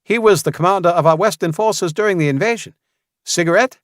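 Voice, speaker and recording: A man's voice: high voice, a little fast, no echo, studio quality, pitched above the performer's own voice, lightly acted. A man voicing a friendly, chatty male soldier in an audiobook story. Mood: cheerful, chatty. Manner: cheerful, chatty.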